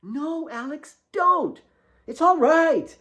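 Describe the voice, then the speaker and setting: Man putting on a whiny, high-pitched little girl. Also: lively intonation, slow pace, sharp tone, full character voice. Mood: pained. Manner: whiny, insistent, exclamatory. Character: demanding, impish nine-year-old girl with a temper.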